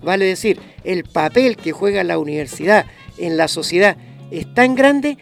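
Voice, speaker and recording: A man's voice: high-pitched, lively intonation, moderate pace, gravelly, faint music behind. A man in his fifties, a radio guest speaking earnestly with many hesitations. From Chile. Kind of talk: radio discussion.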